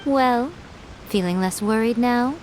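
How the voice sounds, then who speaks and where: A woman's voice: fairly high pitch, slow, a little mellow, a little hiss or hum, rough recording. A woman voicing a teasing, knowing fox shrine maiden in a video game, poised and coy. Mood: coy, reassuring. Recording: music behind the voice.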